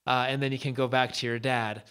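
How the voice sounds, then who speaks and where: A man's voice: mid-range voice, little pitch movement, fairly quick, bright, forceful tone, smooth, close to an everyday voice. A man voicing a helpful magical fox in a folk tale, eager and reassuring.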